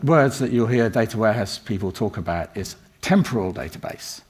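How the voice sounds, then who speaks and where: Older man, croaky voice, fairly low pitch, rambling conference talk, lots of ums. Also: a little mellow. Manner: rambling, many ums and restarts. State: croaky voice from a cold. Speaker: conference speaker. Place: conference room.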